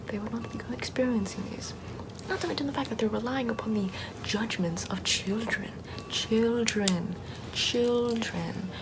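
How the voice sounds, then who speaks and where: A woman playing a sardonic witch healer in an ASMR roleplay, soft-spoken and often whispering in a conversational way. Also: medium pitch, natural voice. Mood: scornful, exasperated. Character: sardonic Puritan-era witch, chatty, scornful yet soothing healer. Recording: clear background ambient noise.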